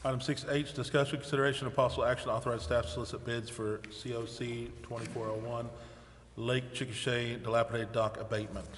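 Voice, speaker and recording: A man with a mid-pitched voice chairs a council meeting, switching between formal procedure and casual asides. The room is very reverberant, with a light layer of noise behind him. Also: little pitch movement, quick pace. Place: large indoor council chamber.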